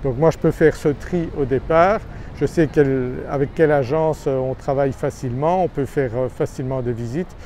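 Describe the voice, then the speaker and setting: A man's voice: medium pitch, normal speed, warm tone, a little hiss or hum. A man from Brussels, talking to camera outdoors in a seaside town as a property finder, hesitant with many filler sounds and friendly in his persuading. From Brussels, Belgium. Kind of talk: casual vlog pitch to camera.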